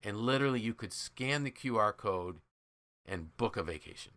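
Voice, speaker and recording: A man's voice: fairly low pitch, animated, moderate pace, a bit bright. An American man co-hosting a casual business podcast, explaining things through enthusiastic storytelling. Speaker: podcast co-host.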